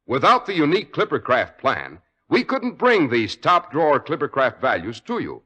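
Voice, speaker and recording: A man's voice: a little high, wildly swooping pitch, normal speed, bright, forceful tone, slightly rough. A man with an American accent announcing and hosting in 1940s radio style, with a polished, salesmanlike manner.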